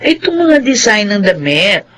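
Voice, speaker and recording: Radio drama mother: caring, soothing middle-aged Filipino woman, moderate pitch, doting. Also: big pitch swings, unhurried, a bit bright, a little hiss or hum. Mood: light, doting. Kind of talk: Filipino radio soap acting.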